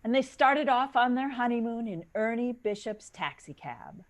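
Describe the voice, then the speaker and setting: A woman's voice: fairly high pitch, expressive, moderate pace, noisy background, lightly acted. A woman playing a storytelling narrator in a 1940s-style radio drama. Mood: cheerful.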